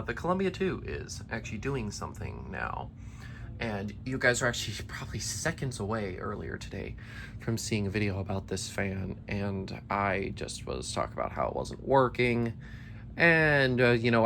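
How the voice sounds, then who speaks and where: A man's voice: mid-range voice, fairly bright tone, slight background noise. A young man with a tired, slightly worn voice, chatting eagerly in a casual vlog update, rambling with restarts. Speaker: ceiling fan collector. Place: basement collection room.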